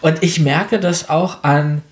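A man with a fairly high voice talks as a radio interviewer and journalist. He is conversational and colloquial, putting his opinions plainly. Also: steady tone, slow.

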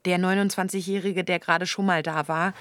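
An actress playing an impersonal, matter-of-fact announcer reading scripted radio play stage directions. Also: female voice, lowish voice, steady tone, brisk, close to an everyday voice. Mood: neutral.

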